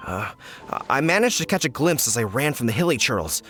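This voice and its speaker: An indignant fruit merchant in an anime game. He is dramatic and flustered, with a very animated pitch and a grumbling delivery. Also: a little fast, soft background music.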